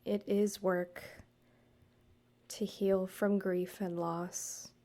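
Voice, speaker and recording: Slow, mellow woman guiding meditation. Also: mid-range voice, little pitch movement. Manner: measured, soothing, deliberate pauses. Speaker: guided meditation host, counselor and yoga teacher. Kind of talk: gentle guided meditation narration, addressed to the listener.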